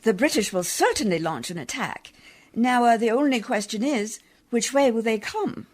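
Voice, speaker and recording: Woman acting a prim, high-voiced, determined lady. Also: lively intonation, normal speed, darkish tone. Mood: prim, firm. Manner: formal, precise phrasing with hesitant questions. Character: prim, proper, determined missionary's sister, formal and persistent. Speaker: actor in a community radio theatre. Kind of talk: radio drama acting in an old Hollywood radio style.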